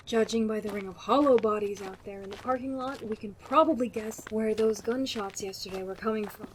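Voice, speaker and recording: A woman's voice: mid-range voice, brisk, slight background noise, lightly acted, low-quality recording. A woman playing a dry, pragmatic, cool-headed survivor in an anime fan audio drama. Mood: matter-of-fact.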